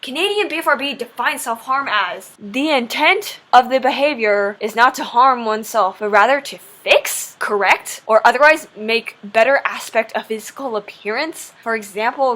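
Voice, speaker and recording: A woman's voice: fairly high pitch, a bit bright. A seventeen-year-old woman talking to her viewers in an emphatic, opinionated personal monologue, mixing slang with formal wording. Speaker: video blogger. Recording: noticeable background noise.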